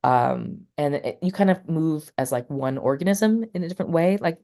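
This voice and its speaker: A low-voiced woman speaks as an interview guest, a poet who also teaches. She talks casually and rambles, leaning on "like" and "you know" and restarting her sentences as she goes. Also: expressive, moderate pace, dark tone.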